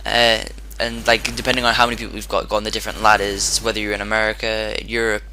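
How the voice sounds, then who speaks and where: A man with a hoarse, sore-throated voice giving casual commentary over his own gameplay, rambling and often restarting his sentences. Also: on the low side, flat delivery, quick pace, bright, forceful tone, a little hiss or hum, poor audio. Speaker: gaming commentator.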